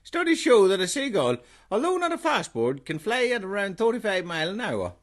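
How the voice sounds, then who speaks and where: A man's voice: high voice, sing-song, brisk, soft background music. A man playing a pedantic know-it-all castaway in a comedy podcast, mock-scholarly in manner. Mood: smug. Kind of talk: scripted comedy podcast acting.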